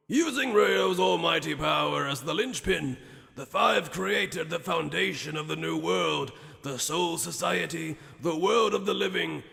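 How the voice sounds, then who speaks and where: A man voicing an ancient, grandiose sage in an anime fan dub, telling lore in a measured, portentous and theatrical way. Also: on the high side, creaky, pushed and bright, exaggerated voice. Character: ancient, grandiose male sage recounting the world's creation. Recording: long hall-like reverb.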